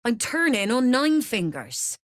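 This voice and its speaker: Female mercenary leader, video game: calculating, persuasive, commanding. Higher register, slow delivery, close dry sound. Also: sing-song, fairly bright tone, no echo. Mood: smug, persuasive. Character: scheming, smooth-talking female mercenary leader plotting a takeover. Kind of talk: video game character acting.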